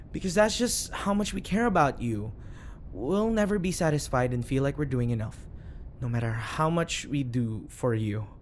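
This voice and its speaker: A man's voice: fairly high pitch, a little hiss or hum. A man playing a flustered, obsessively devoted bodyguard in an audio roleplay, earnest and nervously backpedalling. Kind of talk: audio roleplay acting addressed to the listener.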